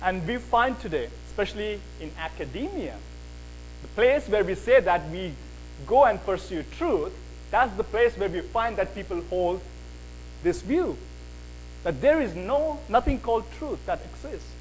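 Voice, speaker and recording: A man with a slight Mancunian accent giving an earnest evening lecture on apologetics, pausing on "uh" and asking the audience rhetorical questions. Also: high voice, sing-song, highs cut off, a little hiss or hum.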